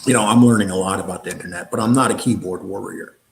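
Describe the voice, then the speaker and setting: A man's voice: low-pitched, fast, gravelly, echoey room, a little hiss or hum. A man with a New York accent hosting a live online show, answering viewer comments in a blunt, combative way, with many fillers and restarts.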